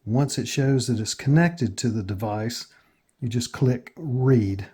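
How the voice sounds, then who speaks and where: An American man hosting a casual step-by-step tutorial in a plain, matter-of-fact way with hesitant pauses. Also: mid-range voice, dark tone, slightly rough. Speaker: tutorial host.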